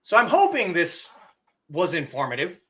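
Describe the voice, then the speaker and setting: Bright-voiced man with a lingering cough. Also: high voice, normal speed. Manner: relaxed, chatty, answers chat questions. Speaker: live stream host teaching language tones. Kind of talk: casual explanatory live stream.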